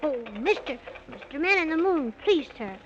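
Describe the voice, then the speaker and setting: A woman's voice: high-pitched, expressive, normal speed. A woman playing an earnest, polite, wide-eyed little boy in an old-time radio children's serial. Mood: polite, anxious. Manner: polite, breathless wonder. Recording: muffled, noisy old radio broadcast recording.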